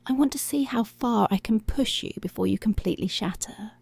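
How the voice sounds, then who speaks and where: A woman playing a dominant, seductive policewoman in an intimate ASMR roleplay, giving slow, deliberate, breathy commands that often drop to a whisper. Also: fairly high pitch, darkish tone, no echo. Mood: commanding, menacing. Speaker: ASMR voice actor.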